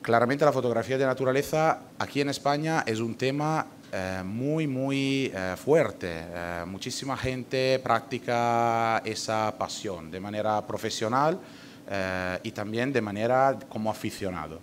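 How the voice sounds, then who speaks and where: A man's voice: mid-range voice, a little slow, a little hiss or hum. A man from Italy with an Italian accent, speaking Spanish as a second language in a conference presentation as an ornithologist, hesitant with many fillers and restarts.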